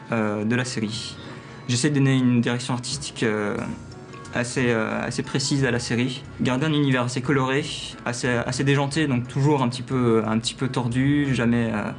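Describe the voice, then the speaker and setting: Homme hésitant, voix de hauteur moyenne. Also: male voice, mid-range voice. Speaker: art director. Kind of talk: casual interview.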